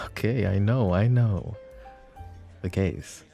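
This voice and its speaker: A man playing a gravelly, teasing mafia boss. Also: fairly low pitch, very slow, faint music behind, exaggerated voice. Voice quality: gravelly, teasing, soft-spoken. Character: smug flirtatious male mafia boss. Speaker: voice actor in roleplay audio. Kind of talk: ASMR roleplay acting.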